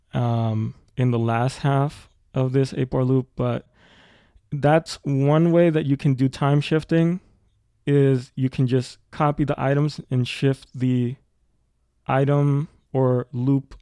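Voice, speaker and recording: Music production tutor, male, casual explainer. Soft-edged, mellow tone, dry recording. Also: mid-range voice, steady tone, takes it slowly, smooth, dry recording.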